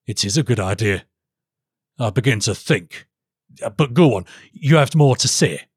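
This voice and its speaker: A man voicing an imperious, quick-tempered king with a gruff, commanding and abrupt delivery, as in a storybook reading. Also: mid-range voice, animated, no echo, studio quality. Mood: gruffly approving. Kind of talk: audiobook character voice.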